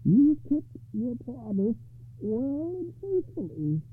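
A man doing a calm, wise enchanted lady. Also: very high voice, husky, voice pushed up, full character voice. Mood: gentle, poised. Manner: slow, raised and deliberate. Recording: dry phone-like muffled sound.

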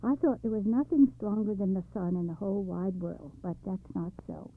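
A woman reads a young daughter who briskly dismisses each suitor. Her voice is husky with a touch of gravel. The sound is thin and phone-like. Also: medium pitch, close to an everyday voice. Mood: decisive. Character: young daughter, choosy and decisive. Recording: tinny phone-like recording.

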